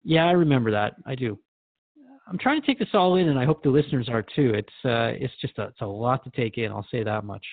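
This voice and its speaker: A man's voice: medium pitch, expressive, brisk, no echo, phone-quality sound. A man playing an earnest, thoughtful radio host who interviews in a reflective, conversational and sometimes hesitant way.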